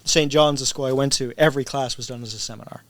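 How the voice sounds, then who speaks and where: A man's voice: mid-range voice, fairly even intonation, fast, no room sound. A middle-aged man from Spain with a mixed English, American and international accent, chatting as an interviewed teacher and often tagging on "right?". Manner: conversational, often checks with "right?". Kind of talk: casual interview chat.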